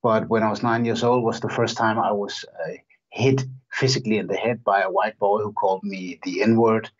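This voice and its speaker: A man from Denmark speaks as an interview guest. He answers thoughtfully and hesitantly, with frequent restarts. His voice is fairly low, and the recording sounds dull and lo-fi. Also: fairly even intonation, normal speed. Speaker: guest, human rights campaigner.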